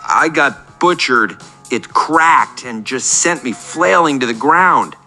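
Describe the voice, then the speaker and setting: A man's voice: mid-range voice, expressive, slow pace, bright, forceful tone, soft background music, heavily acted. A man playing a self-deprecating, neurotic caller on a comic radio show, rambling earnestly and apologetically. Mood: animated.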